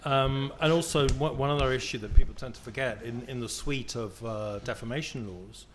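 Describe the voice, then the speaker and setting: A man on a press panel talks about law in quick bursts, often repeating words. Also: medium pitch, a little hiss or hum. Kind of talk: panel discussion.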